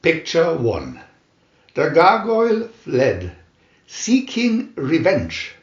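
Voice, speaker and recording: Slow, animated male storybook narrator in echoey room. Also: a little high, muffled recording. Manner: dramatic, deliberate comic-adventure reading with announced picture numbers. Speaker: narrator and reader.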